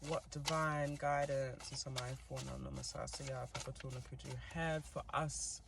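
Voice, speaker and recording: A man's voice: mid-range voice, flat delivery, normal speed, vocal fry, noisy background. A man with a New Zealand accent, giving a chatty, giggly tarot reading with plenty of fillers. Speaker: tarot reader. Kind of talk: casual tarot reading to camera.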